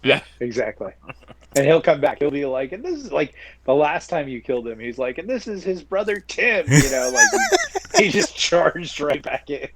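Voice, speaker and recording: A man's voice: fairly high pitch, sing-song, normal speed, a bit bright, slight background noise. A man co-hosting a gaming podcast, telling rambling stories in a casual, joking way. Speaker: podcast co-host. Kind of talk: casual chat.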